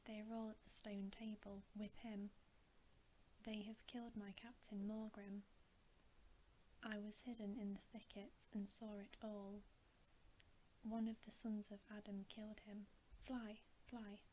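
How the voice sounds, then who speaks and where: A woman's voice: medium pitch, level tone, unhurried, warm tone, slight background noise, close to an everyday voice, low-quality recording. Woman whispering as an urgent wolf messenger. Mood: alarmed. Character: urgent wolf messenger bringing bad news. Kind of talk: storybook reading in ASMR whisper.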